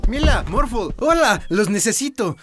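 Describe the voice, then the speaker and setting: An actor voicing a friendly adult zoo keeper in a children's cartoon, polite and animated. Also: mid-range voice, unhurried, over music.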